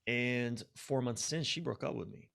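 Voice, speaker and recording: A middle-aged man talking reflectively and conversationally as a podcast guest, emphatic, often halting and repeating words. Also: medium pitch, gravelly, a bit bright. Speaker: podcast guest, life and relationship coach. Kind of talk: conversational, reflective interview talk.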